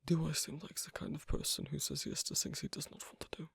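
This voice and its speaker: A man playing a guarded, flirtatious loner werewolf in an ASMR roleplay, speaking hesitantly and teasingly in a breathy, husky near-whisper. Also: on the high side, level tone, fast talker, no echo, full character voice. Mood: reluctant, defiant. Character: gruff, guarded, flirtatious asocial werewolf man on a first date. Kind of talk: ASMR roleplay, intimate one-sided dialogue.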